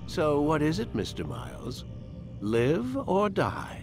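A cold older scientist in a game cutscene. His delivery is clinical and condescending, with a measured manner and a lively rise and fall in pitch. Music plays behind him. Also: creaky, exaggerated voice. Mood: cold, menacing. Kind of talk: video game cutscene acting.

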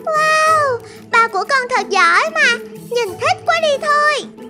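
A performer voicing a small, excited, admiring child in a cartoon. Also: very high voice, slow, soft background music, heavily acted. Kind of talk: cartoon voice acting.

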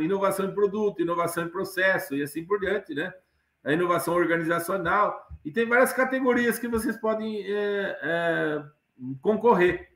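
A man's voice: high-pitched, a bit bright. A middle-aged man giving an online lecture from his study, rambling with many fillers and restarts. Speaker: guest lecturer. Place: home study with bookshelves. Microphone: webcam on video call. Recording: echoey room on video call.

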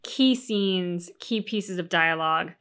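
Bright, lowish woman chatting. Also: slow pace, clean tone. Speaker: co-host of a reaction show. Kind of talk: casual, analytical chat. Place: small furnished room, on a couch.

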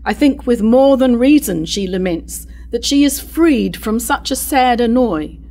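A woman playing a queen's loyal, argumentative noblewoman mother, giving measured, formal verse in a staged reading of a tragedy. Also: a little high, animated, mellow. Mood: firm, defensive. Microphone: stand microphone at lectern.

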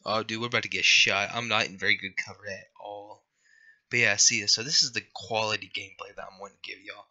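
A man's voice: fairly low pitch, fairly even intonation, fast, bright, forceful tone, muffled recording. A young man with a scratchy voice after being sick, rambling and apologizing through casual gameplay commentary. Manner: rambling, apologetic, lots of fillers. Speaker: gaming youtuber.

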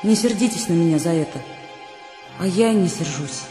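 A woman's voice: lowish voice, normal speed, close to an everyday voice. An actress playing a wounded, defiant young daughter voicing her farewell letter in a radio drama. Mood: hurt, defiant. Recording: long hall reverb over music.

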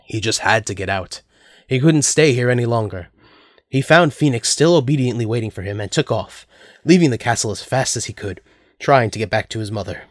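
A man's voice: medium pitch, lively intonation, brisk, dry recording, clean studio sound. A man narrating a scripted story as the narrator of an audio drama.

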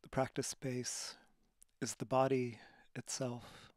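Male meditation guide, mid-pitched, unhurried and calm, hushed breathy delivery with long pauses, dry intimate sound. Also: no room sound. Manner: calm, soothing instruction. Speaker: yoga meditation teacher.

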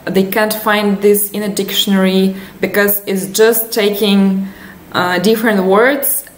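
A woman's voice: mid-range voice, level tone, unhurried, slight background noise. A young woman with a Russian accent, chatting casually as she explains internet memes in a lesson, with frequent fillers and laughs. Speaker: language teacher. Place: small room indoors. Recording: echoey room.

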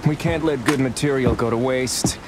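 A man's voice: mid-range voice, moderate pace, background noise, close to an everyday voice. An actor playing a cold, rich businessman villain in a Western game, pompous and condescending. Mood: smug, cold.

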